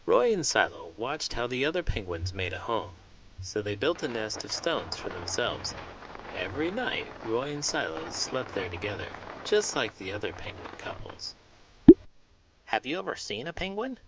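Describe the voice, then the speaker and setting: A man reads aloud for children in an expressive, emphatic storytelling manner, as a host and teacher would. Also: fairly low pitch, moderate pace, noisy background.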